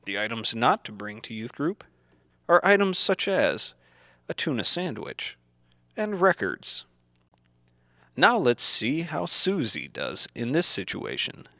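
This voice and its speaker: Male narrator for an educational film: unhurried, didactic, very animated pitch, close dry sound. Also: creaky, dry recording. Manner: deliberate, didactic, simple enunciated phrasing. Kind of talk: scripted instructional narration, old educational film manner.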